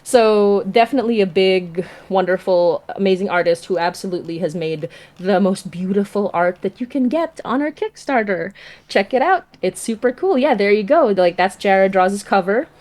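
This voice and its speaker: A woman's voice: medium pitch, normal speed, a little mellow. A woman speaking as a guest creator in casual livestream chat, effusive and emphatic in the way she talks. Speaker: audio drama creator, guest.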